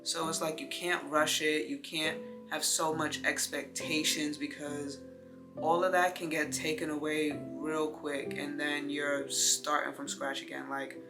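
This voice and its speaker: A woman's voice: sits low, level tone, unhurried, music in the background. A woman in her late twenties, a vlogger talking casually to her viewers in a rambling, heartfelt way with lots of fillers. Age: 20s. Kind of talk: casual talk to camera.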